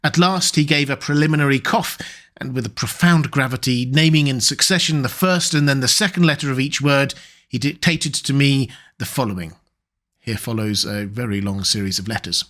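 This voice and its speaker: A man narrating a classic novel as an audiobook in a measured, even reading. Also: mid-range voice.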